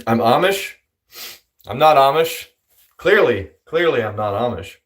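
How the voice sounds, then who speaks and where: A man's voice: medium pitch, animated, a little slow. A man livestreaming and answering chat in a loose, rambling way, full of fillers. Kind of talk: casual chat.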